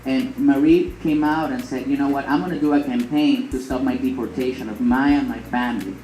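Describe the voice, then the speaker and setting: A man's voice: medium pitch, fairly even intonation, a little fast, mellow. Male activist speaker, emphatic informal storytelling to an audience; roomy sound, faint music. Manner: emphatic, repeats phrases, puts questions to the audience. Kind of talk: informal rallying speech with storytelling. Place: indoor fundraiser event room. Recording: echoey room, faint music.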